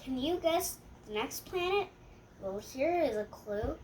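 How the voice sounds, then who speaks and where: A young girl with a high voice reads a rhyming picture book aloud. She goes slowly and haltingly, stumbling over words and fixing them as she goes. There is some light noise in the home recording. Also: expressive, a little mellow. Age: child. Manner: halting read-aloud with stumbles and self-corrections.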